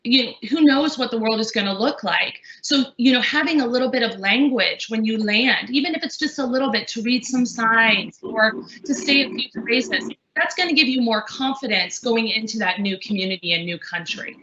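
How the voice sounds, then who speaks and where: Bright-voiced woman, echoey dull call. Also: a little high, moderate pace, few pauses, slight background noise. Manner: earnest, many fillers and restarts. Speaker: language trainer. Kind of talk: webinar panel discussion.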